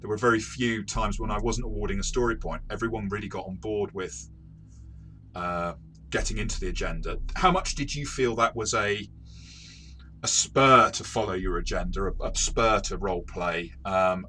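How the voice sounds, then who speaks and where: Man, very deep voice, forceful tone, conversational game review, hesitant self-correcting explainer. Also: moderate pace, a little hiss or hum. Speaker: tabletop game reviewer and game master.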